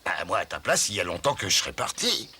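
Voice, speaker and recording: A man voicing a stern, stubborn, middle-aged weaver father in a French cartoon, with a gruff manner. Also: on the low side, wildly swooping pitch, fast, sharp tone, a little hiss or hum, heavily acted. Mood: proud, reminiscing. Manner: gruff, admonishing.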